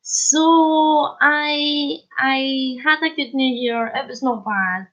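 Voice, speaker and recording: A woman's voice: high voice, takes it slowly. A Scottish woman chatting casually with viewers on a live stream, greeting them by name, her voice a little thick from a head cold and sore throat. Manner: chatty, warm greetings, chuckles. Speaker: vlogger hosting a live stream. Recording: lo-fi dull sound.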